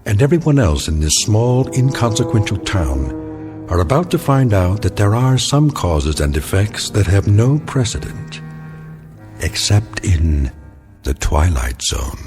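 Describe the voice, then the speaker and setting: A man giving measured, ominous scripted narration for a radio drama. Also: on the low side, very animated. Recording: narration over music.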